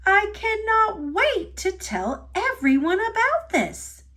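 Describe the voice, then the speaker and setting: A woman's voice: very high voice, sing-song, takes it slowly, bright, forceful tone, pitched above the performer's own voice, full character voice. A woman voicing an eager, wide-eyed young male steam engine in a children's storybook. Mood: amazed, excited.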